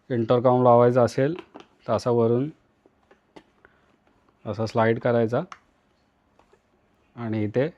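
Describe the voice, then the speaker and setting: A man's voice: mid-range voice, dull audio. A man with an Indian accent from Maharashtra gives a casual hands-on tutorial, talking to viewers in short, repeated instructional phrases. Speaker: vlogger, tutorial host.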